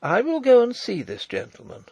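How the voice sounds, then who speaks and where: A noble young princess from a storybook as a man acts her out, pitched high with sweeping intonation. She is proud and tender, speaking in formal, impassioned phrases, close and dry. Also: huge pitch swings, normal speed, gravelly, a little mellow, slightly rough, dry recording, dull audio, exaggerated voice. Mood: resolute. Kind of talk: audiobook character voice.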